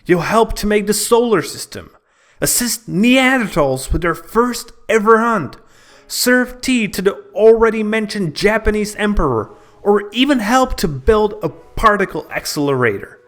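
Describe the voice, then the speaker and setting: A man reads a scripted game review as if telling a dramatic story. His voice sits a little higher than average for a man and moves with a very animated, varied melody. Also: a little slow.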